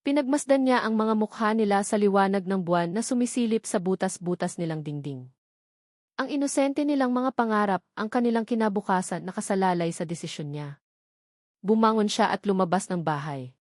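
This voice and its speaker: A woman's voice: medium pitch, brisk, a little mellow, no room sound. Woman narrating a drama, very dry sound. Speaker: storyteller narrator. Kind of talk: scripted dramatic story narration.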